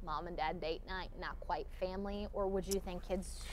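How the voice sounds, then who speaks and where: A woman's voice: on the low side, steady tone, normal speed, a little hiss or hum. A woman with an American accent hosting a live TV morning show, interviewing guests in a conversational broadcast style. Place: TV studio.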